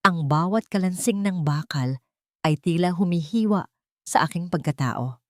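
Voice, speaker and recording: A woman's voice: on the low side, moderate pace, darkish tone, no room sound, studio quality. A woman reading scripted dramatic narration as a storyteller.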